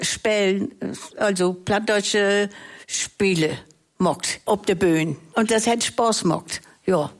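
Slow-speaking older woman. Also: mid-range voice. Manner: slow, reminiscing. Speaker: talk show guest. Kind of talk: casual chat, recollecting.

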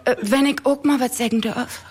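A woman's voice: high-pitched, fairly even intonation, moderate pace, darkish tone, breathy edge. A woman voicing a shy, anxious new T-shirt that stammers timidly in a comic story. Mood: nervous, timid.

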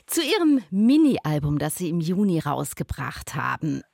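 A woman radio presenter announcing music and interviewing a guest, asking friendly, lively questions from a studio. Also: low voice, a little slow, vocal fry, no room sound. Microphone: studio microphone.

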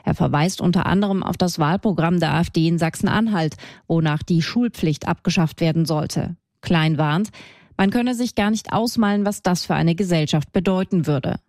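Lowish-voiced female correspondent, dry sound. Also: moderate pace, a little mellow, no room sound. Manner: formal, factual. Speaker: radio news correspondent. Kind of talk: scripted news report.

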